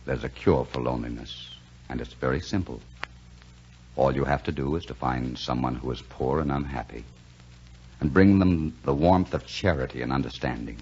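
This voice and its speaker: An actor playing a courteous, gently wise waiter in a 1940s radio drama, speaking earnestly and deferentially. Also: male voice, a bass voice, moderate pace. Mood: gentle, earnest. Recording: muffled, noisy 1940s radio recording.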